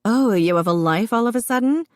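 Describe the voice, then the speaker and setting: A heavily pregnant, blunt older sister who ribs her brother in bright, exclamatory bursts, pitched well above the narrator's own low voice, as a woman puts her on. The sound is close and dry, with no room around it. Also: animated, fairly quick, no room sound, natural voice. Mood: teasing. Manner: teasing, exclamatory.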